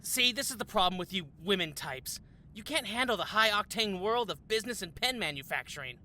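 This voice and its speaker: A woman's voice: medium pitch, expressive, brisk, pushed and bright, a little hiss or hum. A woman playing a smug, sexist male office rival, pompous and sneering, in a comedy audio drama. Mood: condescending.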